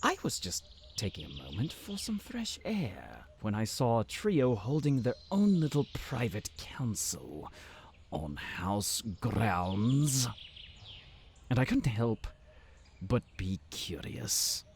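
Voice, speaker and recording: A man's voice: fairly low pitch, wildly swooping pitch, takes it slowly, slight background noise, heavily acted. A man playing a haughty, condescending town official in a fantasy audio drama, with pompous, formal phrasing. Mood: smug, prying. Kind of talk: fantasy audio roleplay acting.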